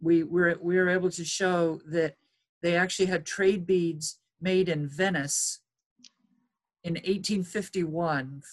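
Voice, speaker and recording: Brief: woman archaeology expert guest, measured and explanatory, lower voice. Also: steady tone. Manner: measured, explanatory, some hesitations. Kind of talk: expert interview answers.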